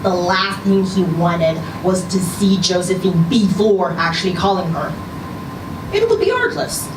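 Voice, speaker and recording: A woman reading a short story aloud at a live event, with measured, expressive storytelling. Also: lowish voice. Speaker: story reader. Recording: roomy, distant, noisy live event recording.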